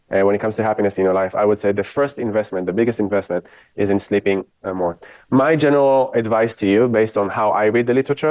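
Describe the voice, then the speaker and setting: A man from Israel lectures to a university class in a lowish voice. He talks off the cuff and states his opinions frankly. The sound is fairly dry, with little room on it. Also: a little mellow, no echo, low-quality recording.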